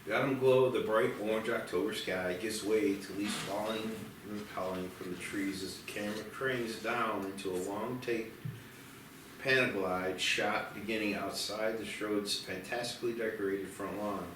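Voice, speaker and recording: A man's voice: on the low side, steady tone, normal speed, fairly bright tone, a little hiss or hum. A man reading screenplay stage directions as the narrator of a table read. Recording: very echoey, distant mic, music behind. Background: music.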